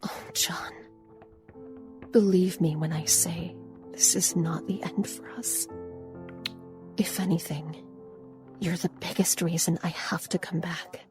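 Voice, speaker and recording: An actress playing a tender, reassuring young woman in love in an intimate audio drama, with soft, breathy murmurs. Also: lowish voice, steady tone, slow pace, pauses often, over music. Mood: tender, earnest.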